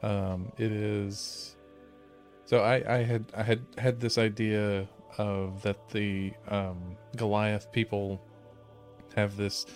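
A man playing a tabletop game, casually explaining things in a halting way as he searches for words. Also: lowish voice, little pitch movement, soft background music. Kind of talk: casual chat, explaining character lore.

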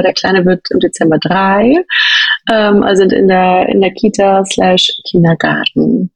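Female guest, thirties, husky with vocal fry, conversational, occasional English phrases. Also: mid-range voice, dry recording, low-quality recording. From Berlin, Germany. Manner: drops English phrases into speech. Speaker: podcast guest, financial education founder. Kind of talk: conversational interview answers.

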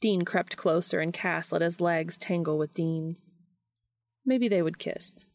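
A woman's voice: on the low side, measured pace, a little mellow, dry recording. A woman reading scripted fiction as a podcast narrator and host.

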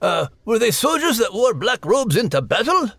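Wildly animated man bantering as co-host. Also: very high voice, normal speed, vocal fry, no echo. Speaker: co-host in character voices. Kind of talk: playful chatty podcast banter.

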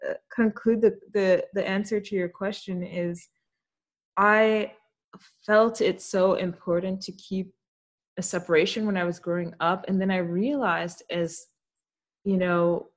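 A woman's voice: medium pitch, fairly even intonation, unhurried. A young adult woman hosting a podcast, talking in a reflective, thoughtful way and laughing easily. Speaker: podcast host. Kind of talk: casual conversational interview.

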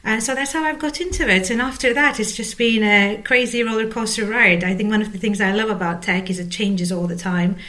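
A woman's voice: mid-range voice, a little fast, few pauses, pushed and bright. Woman in her forties, interview guest. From Turkey. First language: Turkish. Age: 40s. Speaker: interview guest, technology executive. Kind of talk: conversational interview about career.

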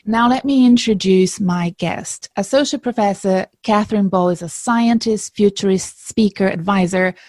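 A woman's voice: mid-range voice, moderate pace, hardly any pauses, a little mellow, no echo. A middle-aged woman hosts a career podcast in front of a live audience. She introduces her guest with upbeat enthusiasm. Her voice sits in a middle register, and it is recorded close and dry. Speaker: podcast host, career coach. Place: live event in Melbourne.

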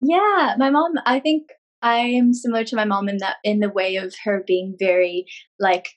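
Female guest, 30s, from California, relaxed and talkative. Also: mid-range voice, normal speed. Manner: chatty, rambling with many fillers and laughs. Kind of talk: casual interview chat.

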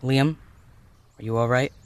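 A man acting in an audio drama as a supportive, casual teammate who speaks gently and frankly. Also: mid-range voice, steady tone, unhurried, smooth, lightly acted. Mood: gently concerned. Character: supportive, casual male teammate waiting at base. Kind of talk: naturalistic fiction podcast acting.

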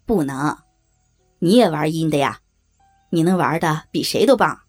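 A man's voice: a little high, expressive, unhurried, faint music behind. An actor playing a coarse, leering rural man with a Northeastern Chinese accent, blunt and pressing, in an audio drama. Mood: cajoling, firm. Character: coarse, leering rural brother-in-law, domineering and cajoling.

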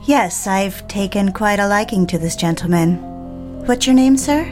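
A woman acts out a lovestruck married mistress in an audio drama. She tells of her affair in an intimate, confessional manner at a medium pitch, with music clearly playing behind her. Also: expressive, moderate pace, a little mellow, close to an everyday voice. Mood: coy, bold. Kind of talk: dramatic first-person narration and dialogue.

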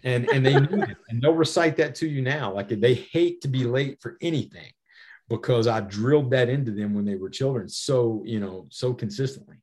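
A middle-aged American man speaks as an interview guest. His voice sits at an average male pitch, and he explains his points in a conversational, anecdotal way, often punctuated with "you know". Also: moderate pace, a little mellow. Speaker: podcast guest, safety author and former federal air marshal.

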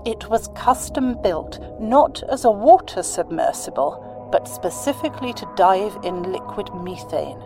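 A woman's voice: medium pitch, lively intonation, unhurried, hardly any pauses, lightly acted. A woman playing a proud space mission expert explaining things in a documentary-style audio drama interview. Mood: proud, explanatory. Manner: explanatory, professional. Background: music.

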